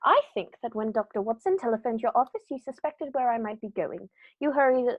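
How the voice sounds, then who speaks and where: Woman as a confident detective in a radio play, highish voice, very precise n polite, close dry sound. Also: a little fast, dry recording, phone-quality sound, lightly acted. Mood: accusing, incisive. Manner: composed, precise, courteous. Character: confident, incisive male detective on trial, politely accusing. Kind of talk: amateur radio play acting.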